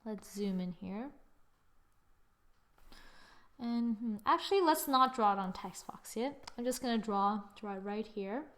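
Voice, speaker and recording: A woman hosting a casual drawing software tutorial, thinking aloud informally and often murmuring as she works. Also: a little high, a little slow, pauses often. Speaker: tutorial host. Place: screen-recording voice-over.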